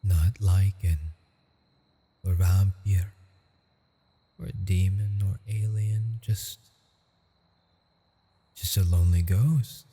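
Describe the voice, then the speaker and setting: A man playing a lonely, tender ghost lover in a romantic ASMR roleplay, speaking haltingly and intimately in a breathy, husky voice. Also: low voice, steady tone, warm tone, exaggerated voice. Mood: intimate, devoted. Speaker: audio roleplay performer. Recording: long reverb with music behind.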